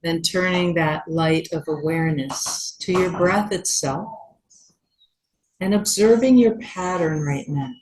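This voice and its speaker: Low-voiced woman guiding a meditation. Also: slight background noise, low-quality recording. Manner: gentle, unhurried, with long pauses. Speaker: yoga teacher leading a yoga nidra class. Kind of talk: guided meditation instruction.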